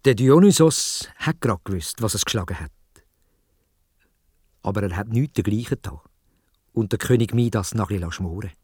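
A man with a Swiss German accent telling a children's story in a dramatic, expressive way, speaking directly to young listeners. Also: on the low side, moderate pace, long pauses, slightly rough, no room sound, studio quality. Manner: dramatic, addresses young listeners with questions and exclamations.

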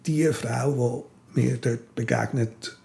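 A man's voice: medium pitch, fairly even intonation, slow, vocal fry, warm tone. An older man with a Swiss German accent, talking in a reflective, philosophical way in casual conversation as an interview guest. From Switzerland. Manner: philosophical, musing.

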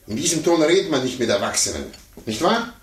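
An actor playing a pompous, condescending sore loser, stammering and blustering in a 1950s radio play. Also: male voice, medium pitch, sing-song, fast, natural voice. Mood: flustered, indignant. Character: pompous adult man, a blustering, sore-losing chess player. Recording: roomy, noisy 1950s radio recording.

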